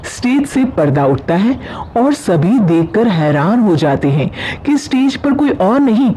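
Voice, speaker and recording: A woman's voice: mid-range voice, big pitch swings, a little slow, dark tone, slight background noise, poor audio. A woman narrating a scripted story as the storyteller.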